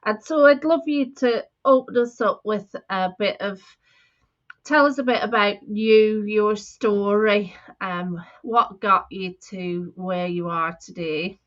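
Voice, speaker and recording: A woman's voice: medium pitch, fairly even intonation, slow, highs cut off. A woman in her forties hosting an interview over a video call, welcoming her guest effusively, with some hesitations. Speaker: host. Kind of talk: interview host introduction.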